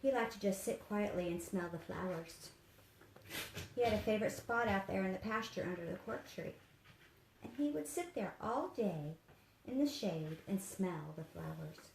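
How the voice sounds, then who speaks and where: Female storyteller for young kids, expressive read-aloud delivery, mid-pitched, recorded in an echoey room with faint noise. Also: normal speed, gravelly. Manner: simple, animated storytelling addressed to young children. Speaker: therapy dog handler and volunteer reader.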